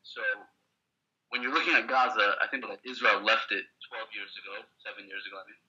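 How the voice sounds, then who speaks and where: A lower-voiced man with a forceful, sharp edge speaks over a dull, lo-fi internet call. He argues his point in halting bursts, doubling back on words as he searches for them. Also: fairly even intonation. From Queens, New York, USA. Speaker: pro-Israel debate participant. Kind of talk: online debate over a call. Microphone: video call.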